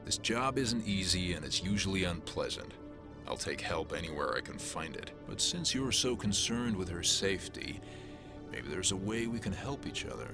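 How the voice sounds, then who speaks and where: A man playing a gruff, pragmatic alien police detective in a video game, blunt and brusque. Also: low voice, very animated, normal speed, music in the background. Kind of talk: game voice acting.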